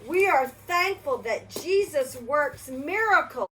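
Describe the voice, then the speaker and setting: An older woman reading a Bible story to children in a gentle storybook manner, stopping to ask the kids questions. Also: high-pitched, expressive, slow, rough recording.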